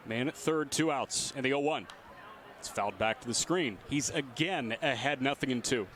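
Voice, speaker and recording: A man works as a radio baseball announcer, calling the game live. His voice sits at a middling pitch. Faint outdoor stadium ambience runs behind the commentary. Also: normal speed, a bit bright, slight background noise. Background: ballpark ambience.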